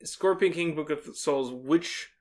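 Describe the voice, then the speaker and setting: A man's voice: on the high side, takes it slowly, a little mellow. Dry-joking man in casual chat. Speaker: podcast co-host.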